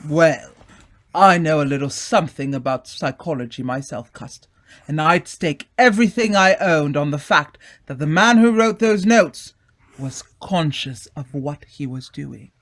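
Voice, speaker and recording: Man acting bluff, hearty upper-class gentleman. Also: a little high, a little slow. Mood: reassuring, brisk. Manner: bluff, exclamatory, patronizing. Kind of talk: old-time radio drama acting.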